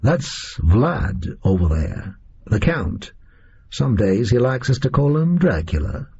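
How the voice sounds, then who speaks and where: A man doing a gravelly, drawling gunman. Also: medium pitch, sing-song, slow, darkish tone, pitched above the performer's own voice, full character voice. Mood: sardonic. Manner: drawling, casual slang. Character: tough American male gunman, blunt and sardonic. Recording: muffled lo-fi recording.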